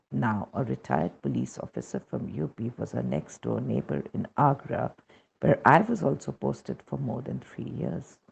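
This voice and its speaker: A man with an Indian accent reads a scripted devotional testimony in a measured, solemn manner, on a dull recording. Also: mid-range voice, creaky, darkish tone, husky.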